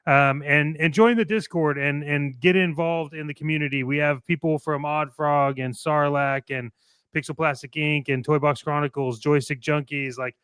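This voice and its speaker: A male co-host with a fairly high, clean and resonant voice. He sounds playful and keen, shifting between promotional reads and loose chat, recorded close and dry. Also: fairly even intonation, moderate pace, a bit bright, clear, resonant tone, no room sound. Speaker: co-host of a toy collecting radio show. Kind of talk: hyped ad reads and casual co-host banter.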